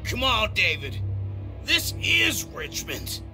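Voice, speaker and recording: An actor playing a frightened, cowardly turncoat who pleads for himself in a dramatic video game. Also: male voice, sits high, wildly swooping pitch, slow pace, bright, forceful tone, faint music behind, exaggerated voice. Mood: pleading.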